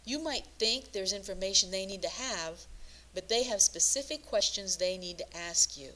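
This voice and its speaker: A woman presenting scripted instructional narration as a career coach, speaking in a measured, instructive manner. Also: medium pitch, bright, forceful tone, rough recording.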